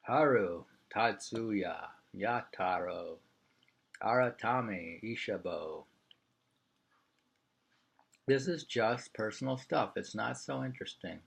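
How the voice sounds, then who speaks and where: A man's voice: on the low side, lively intonation, takes it slowly, vocal fry, pauses often, breathy edge. A man reading an interview aloud in an informal way. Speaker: reader of a printed interview.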